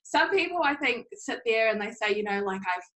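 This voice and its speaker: A young woman with a New Zealand accent, chatting casually as an interview guest, often laughing and filling pauses with "like" and "um". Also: a little high, expressive, fairly bright tone. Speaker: interview guest, social media manager.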